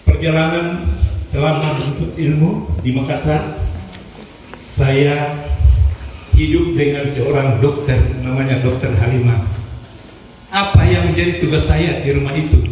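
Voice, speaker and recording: A man's voice: on the high side, dark tone. A man in his seventies giving a rousing ceremonial speech to new students, full of repetition and rhetorical questions. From Southeast Sulawesi, Indonesia. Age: 70s. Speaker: university foundation chairman. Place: large hall. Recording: echoey hall from far off, noisy.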